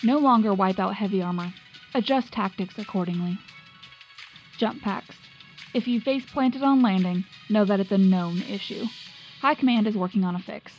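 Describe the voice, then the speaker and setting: Woman doing a deadpan army tech radio reporter, short wry lines, dull radio sound, music behind. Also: medium pitch, normal speed, close to an everyday voice. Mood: wry, instructive. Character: wry, crisp female military tech radio correspondent. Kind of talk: scripted radio tech bulletin.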